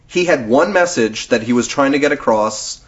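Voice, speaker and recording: A man's voice: medium pitch, animated, moderate pace. A man hosting a podcast in casual chat, explaining things in a talkative way with frequent chuckles. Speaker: podcast host. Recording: dull lo-fi podcast recording.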